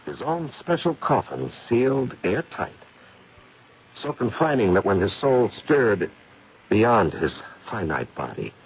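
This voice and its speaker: Deep, gravelly ghost investigator. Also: male voice, heavily acted. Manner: deliberate, dramatic explaining. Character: confident male paranormal investigator, gray-haired, probing and theatrical. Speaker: actor. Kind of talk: old-time radio mystery acting. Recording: muffled, noisy radio drama recording.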